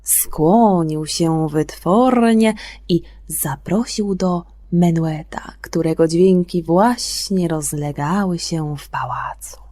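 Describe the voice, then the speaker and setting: A woman telling a children's fairy tale as scripted narration, expressive and gentle, with deliberate phrasing. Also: fairly low pitch, no echo. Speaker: storyteller on a children's radio programme.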